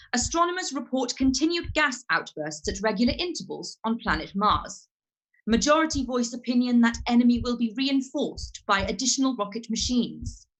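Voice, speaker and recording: An actress playing a radio news announcer who reads urgent bulletins in a crisp, steady manner. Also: female voice, on the high side, poor audio. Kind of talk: scripted bulletin reading.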